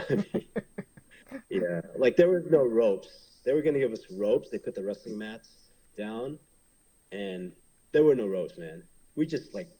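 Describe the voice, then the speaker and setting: A man's voice: medium pitch, animated, unhurried, mellow, slightly rough, low-quality recording. A man in his thirties from Hong Kong, a pro wrestler, talking casually in an interview and rambling with many fillers and restarts.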